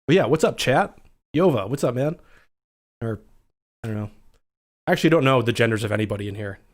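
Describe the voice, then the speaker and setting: A man's voice: mid-range voice, animated, fairly quick. A young adult man with a scratchy voice, hosting a casual livestream and chatting with viewers as he reads out their comments. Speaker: livestream host.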